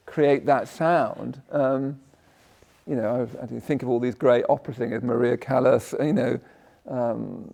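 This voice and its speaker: A man with a croaky voice giving a conversational Buddhist talk, often checking in with "yeah?" and breaking off his sentences. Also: mid-range voice, warm tone. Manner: conversational, tag questions, self-interrupting. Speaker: Buddhist teacher. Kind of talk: dharma talk. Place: meditation hall.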